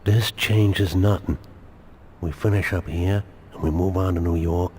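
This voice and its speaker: Deep-voiced menacing crime boss. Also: male voice, fairly even intonation, a little mellow, slight background noise. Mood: cold suspicion. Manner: deliberate, threatening. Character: menacing male crime boss, cold and controlling. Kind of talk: dramatic video game cutscene acting.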